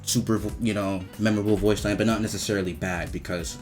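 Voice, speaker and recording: Guy with a deepish voice rating video game lines, super animated, slangy and sweary, faint music behind. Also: fast. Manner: profane, slangy, conversational.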